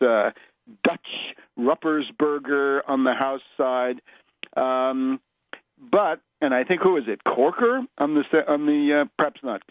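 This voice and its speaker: A man delivering an unscripted political radio monologue in a scornful, emphatic, opinionated manner, with halting, deliberate phrasing. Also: mid-range voice, no echo. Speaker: political radio commentator and host. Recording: dull, lo-fi radio audio.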